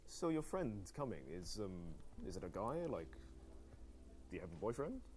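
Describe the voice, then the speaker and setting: A man's voice: lowish voice, very animated, moderate pace, darkish tone. An actor playing a young British man in a live-action dating game, pushy and hesitant as he chats up a stranger. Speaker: game character.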